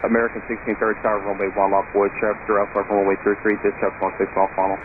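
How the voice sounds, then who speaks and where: A man's voice: fairly low pitch, level tone, rapid-fire. A man working as an air traffic controller, giving clipped instructions over a radio transmission. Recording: narrow tinny radio link, noisy.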